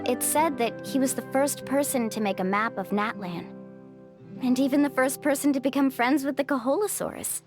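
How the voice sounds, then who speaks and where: A woman's voice: high-pitched, moderate pace, music in the background, close to an everyday voice. A woman playing an upbeat, caring young tour guide in a video game, with a reassuring, confident manner. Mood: reflective, friendly.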